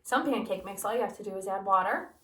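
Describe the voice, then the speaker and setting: A woman's voice: mid-range voice, quick pace. A woman teacher reading a picture book aloud to her students, asking them questions as she goes. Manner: animated, asks listeners questions. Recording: roomy, from a distance.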